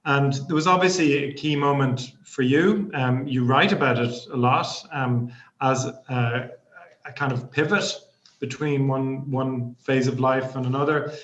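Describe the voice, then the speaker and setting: A man's voice: medium pitch, fairly even intonation, a little slow, a bit bright. Guy in his forties hosting, ums a lot, roomy echo, kinda muffled. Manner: engaged, hesitant with ums. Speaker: professor, host. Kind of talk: interview questions. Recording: echoey room, dull lo-fi audio.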